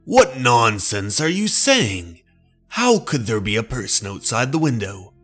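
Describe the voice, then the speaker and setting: A man's voice: medium pitch, very animated, measured pace, a bit bright, husky, soft background music, full character voice. Man acting a stern, burdened middle-aged father. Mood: irritated. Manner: firm, emphatic, dismissive. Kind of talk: horror manga dub.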